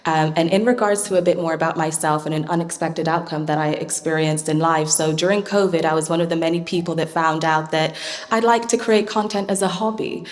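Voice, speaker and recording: A woman's voice: sits low, steady tone, a little fast, big-room sound. A woman partnerships lead speaking on a panel in a polished, upbeat manner. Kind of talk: panel discussion talk.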